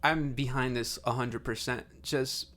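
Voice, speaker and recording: A man's voice: mid-range voice, fairly even intonation, unhurried, a bit bright. Slangy, informal man chatting on a podcast. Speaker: podcast co-host. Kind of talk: casual chat.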